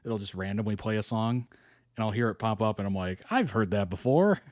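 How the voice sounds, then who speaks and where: Dude rambling through a reaction vid, lowish voice, dead dry room. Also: male voice, lively intonation, a little fast, darkish tone, no echo. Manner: chatty, thinks aloud, laughs often. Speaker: reaction video host. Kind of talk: casual reaction commentary. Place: hot indoor room.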